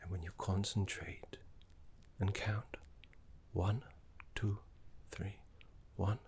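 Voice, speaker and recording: Casting: man to play a kindly gentleman necromancer tutor for fantasy game ASMR roleplay; very low, breathy half-whisper, slow and reverent. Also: little pitch movement, slight background noise. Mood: reverent, hushed. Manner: unhurried, soothing, genteel formal phrasing. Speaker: teacher guiding a student.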